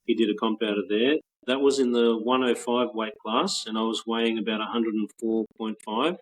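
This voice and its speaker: A man around forty with an Australian accent, chatting as a guest on a video call in a relaxed, rambling way with frequent restarts. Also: fairly low pitch, moderate pace, low-quality recording. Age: 40s. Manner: relaxed, rambling with many restarts and soft laughs. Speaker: guest, patent office trainer. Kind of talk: casual interview chat.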